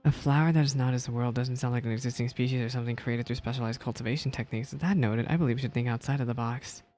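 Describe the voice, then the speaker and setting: A man's voice: mid-range voice, steady tone, fast talker. A man streaming a game and giving casual, rambling reaction commentary. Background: soft video game music.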